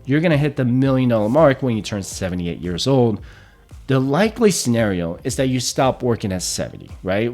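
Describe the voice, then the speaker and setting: A man's voice: mid-range voice, a little mellow. A man in his thirties hosting a personal finance explainer, walking through the numbers step by step and often checking in with "right?". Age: 30s. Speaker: personal finance channel host.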